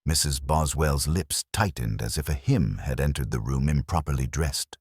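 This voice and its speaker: A man reading a literary mystery as narrator, in a measured, understated, wryly humorous way. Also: rumbling low, a little mellow, breathy edge, no room sound, studio quality. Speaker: narrator and reader of a mystery story. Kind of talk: scripted literary narration with dry, wry humour.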